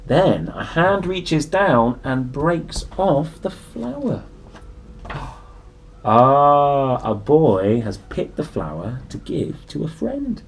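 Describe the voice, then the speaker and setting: Animated young man reading a children's storybook. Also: on the high side, vocal fry, a little hiss or hum. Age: young adult. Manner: animated, dramatic pauses, soft exclamations. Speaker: storybook reader and presenter. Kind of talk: expressive children's picture-book reading with asides. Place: small indoor room.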